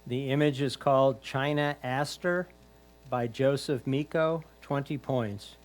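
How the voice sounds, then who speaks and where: A man's voice: mid-range voice, steady tone, a little slow. A man announcing image titles, names and scores at a club competition.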